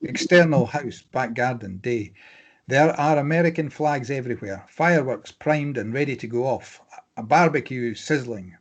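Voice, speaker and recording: A man narrates a drama script as the reader of stage directions. His voice is of middling pitch, and he delivers the scene headings and actions as scripted narration. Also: normal speed.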